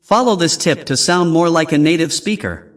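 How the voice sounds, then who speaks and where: A man hosting an English lesson, giving slow, clear, scripted prompts between practice clips. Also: a little high, lively intonation. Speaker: English teacher host.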